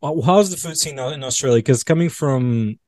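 A man's voice: mid-range voice, normal speed, darkish tone. A man from Portugal hosting an interview, asking friendly, teasing questions in casual chat. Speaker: interview host.

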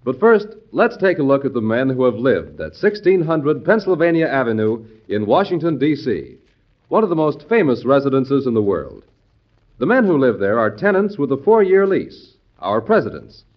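A man's voice: medium pitch, very animated, normal speed. An American man announcing an old-time radio series with scripted narration. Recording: dull, lo-fi vintage radio recording.

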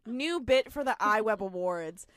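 A woman's voice: a little high, expressive, a little slow, a bit bright, smooth, no room sound, clean studio sound. A young woman co-hosting a casual show recap, giggly, full of "like", acting out the characters as she goes. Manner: giggly, lots of "like", acts out characters. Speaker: podcast co-host.

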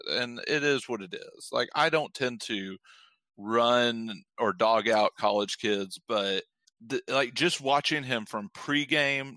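A man's voice: pushed and bright, no echo. A man co-hosting a casual sports podcast, talking in rambling, frustrated bursts with many restarts. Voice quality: deep voice. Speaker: podcast co-host.

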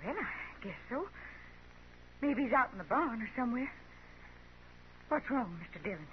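A woman's voice: on the high side, sing-song, moderate pace. An actress playing a polite, anxious older ranch mother with a rural American accent in a 1950s radio Western, folksy and courteous. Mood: polite, uneasy. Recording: muffled old radio recording, faint noise.

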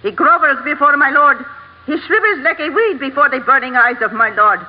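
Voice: sits high, brisk, close to an everyday voice. An actor playing a haughty sultana in old radio drama, fawning on a ruler and spitting florid insults. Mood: haughty scorn. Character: scornful, fawning number one sultana, weasel-like. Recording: dull old radio recording, long reverb.

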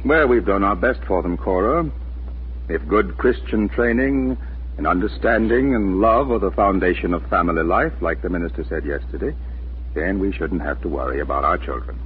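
An actor playing an earnest, protective middle-aged father in a measured, emphatic 1940s radio drama style. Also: deep voice, slight background noise, lightly acted. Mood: reassuring. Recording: muffled 1940s radio recording, music behind.

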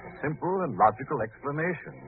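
An actor playing a rational, protective hero, firm and reassuring, in 1940s radio style. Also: male voice, on the high side, big pitch swings, fast talker. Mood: firm reassurance. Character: steady rational male detective hero, protective. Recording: noisy phone-like old radio recording.

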